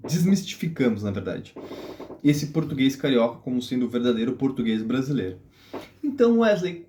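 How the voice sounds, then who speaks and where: A man's voice: mid-range voice, dark tone, slight background noise. A man from Brazil hosting a casual explainer video, teasing and joking as he talks. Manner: joking, teasing, full of fillers and restarts. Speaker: video host.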